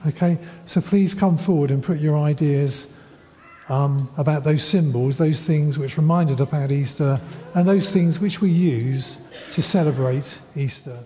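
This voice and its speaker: A man's voice: a little high, a little slow, mellow. A middle-aged man leads an informal all-age church service, speaking in a hesitant, conversational way. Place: large church interior before a congregation. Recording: echoey church hall with background noise.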